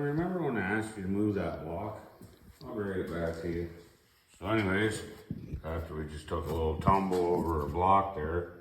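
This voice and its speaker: A man's voice: low-pitched, roomy sound, rough recording. A middle-aged man with a sore throat hosting a casual garage repair video, rambling and folksy with lots of fillers, filmed by his son. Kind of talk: casual how-to commentary. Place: home garage. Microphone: camera held by his son.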